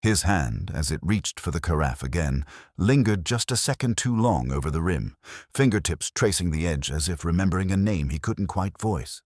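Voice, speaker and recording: A man playing a weary, wry older police inspector, narrating a literary audio drama in a measured, understated, reflective way. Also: sits low, expressive, a little mellow, no room sound, studio quality. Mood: wary, reflective.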